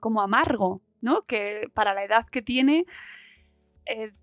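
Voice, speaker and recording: A woman's voice: a little high, lively intonation, measured pace, fairly bright tone, dry recording, clean studio sound, soft background music. A woman hosting a podcast interview, chatting casually and piecing her thoughts together hesitantly.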